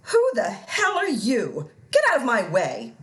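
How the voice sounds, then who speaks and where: Casting: actress for imperious rich wife, high sharp voice, melodramatic radio villainess. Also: female voice, wildly swooping pitch, unhurried, full character voice. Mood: exasperated. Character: haughty rich society wife, scheming, easily outraged. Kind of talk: radio play acting.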